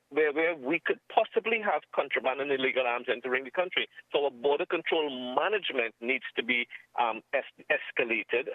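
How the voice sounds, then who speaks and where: A man with a Trinidadian accent speaking as an interviewed security expert over the telephone, in a formal, measured, emphatic way, repeating phrases for stress. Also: mid-range voice, no echo. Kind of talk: phone interview commentary. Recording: muffled narrow-band telephone line.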